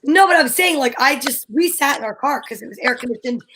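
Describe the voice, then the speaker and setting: A woman talk show host chatting casually, telling stories in an animated, rambling way. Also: high voice, a little fast. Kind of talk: casual chat.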